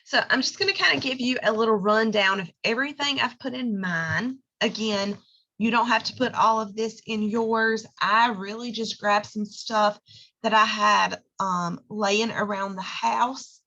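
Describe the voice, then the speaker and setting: Hoarse chatty woman, bright voice. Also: a little high, unhurried, dull audio. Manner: chatty, lots of fillers and "okay?" check-ins. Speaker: direct sales consultant. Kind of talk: casual how-to talk.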